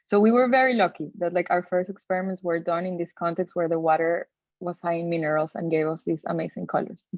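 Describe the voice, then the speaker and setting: A woman from Venezuela with a Venezuelan Spanish accent gives an informal presentation in a halting, conversational way, often correcting herself. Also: lowish voice, steady tone, dry recording, lo-fi sound. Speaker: textile designer giving a talk.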